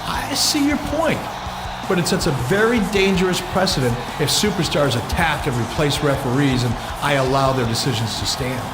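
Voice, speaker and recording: A man's voice: on the high side, lively intonation, moderate pace, natural voice. A man playing a stern, commanding general manager making formal rulings in a wrestling video game. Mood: firm, official. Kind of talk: arena announcement in a wrestling game cutscene. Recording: echoey arena microphone, loud music behind.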